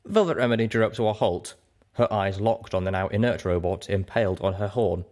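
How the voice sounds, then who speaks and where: A man reading fiction aloud as an audiobook narrator, in an animated storytelling style. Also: fairly low pitch, a little fast. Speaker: audiobook reader.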